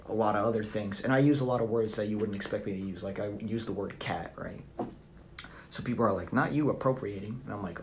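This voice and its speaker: A young man with a Southern American accent, chatting loosely on a phone livestream from home. His low voice has a gravelly, fry-heavy texture. He rambles bluntly and swears freely while reacting to comments. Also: a little hiss or hum. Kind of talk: casual live-stream chat reading comments.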